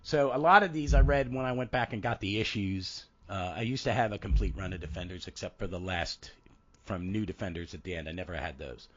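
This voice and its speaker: A man's voice: sits low, fairly quick, a bit bright, muffled recording. A man in his fifties hosting a casual podcast, his voice froggy and congested from a head cold, rambling and cutting himself off mid-thought. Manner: rambling, self-interrupting. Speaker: podcast host.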